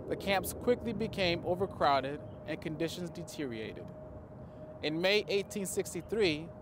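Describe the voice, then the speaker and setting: A man in his thirties gives a scripted history talk outdoors, speaking into a lapel mic in a measured, formal way. His voice sits a little high, and steady traffic hum is clearly heard behind him. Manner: measured, formal delivery to camera. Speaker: cemetery staff presenter. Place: outdoors in a cemetery.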